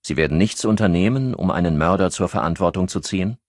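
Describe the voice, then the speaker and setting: A man's voice: low voice, fast talker, dry recording, clean studio sound. A man doing a blunt, skeptical detective.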